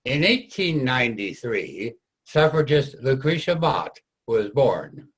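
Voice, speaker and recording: A man giving scripted historical narration in a formal, measured way. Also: medium pitch, very animated, husky.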